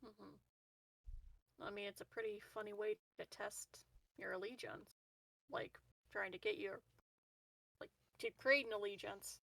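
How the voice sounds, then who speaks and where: A woman's voice: mid-range voice, vocal fry, fairly bright tone, dry recording, rough recording. A woman playing a tabletop roleplaying game, chatting casually in a wry way. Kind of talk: casual chat.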